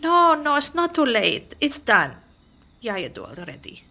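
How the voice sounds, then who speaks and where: A woman's voice: sits high, wildly swooping pitch, measured pace, gravelly, bright, forceful tone, exaggerated voice. A woman playing a kindly, blunt old immigrant lady who speaks short broken English phrases in an audio drama. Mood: doting, consoling.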